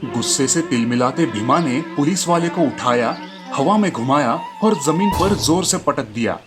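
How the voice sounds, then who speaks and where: A man with a slightly high voice narrates a scripted storybook tale. He tells it as the storyteller of a moral fable, with music playing behind him. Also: animated, normal speed.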